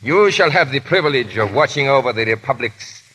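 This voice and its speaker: An actor playing a stern, sardonic French Revolutionary agent in a formal, commanding manner in a 1930s radio drama. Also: male voice, mid-range voice, expressive, brisk, bright, forceful tone, slightly rough. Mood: coolly triumphant. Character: stern, menacing male French Revolutionary agent, coolly triumphant. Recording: muffled-edged vintage 1930s radio broadcast.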